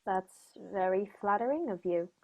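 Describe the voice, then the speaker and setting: A woman playing a courteous, composed English male detective with a measured, formal manner in a radio play. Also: mid-range voice, animated, narrow, tinny audio, natural voice. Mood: polite. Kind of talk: 1940s radio play acting.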